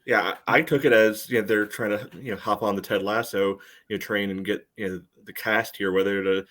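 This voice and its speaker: A man co-hosting a sports podcast, giving casual commentary in a halting, filler-heavy way. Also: lowish voice, steady tone, fairly bright tone. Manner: halting, peppered with "you know". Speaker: podcast co-host.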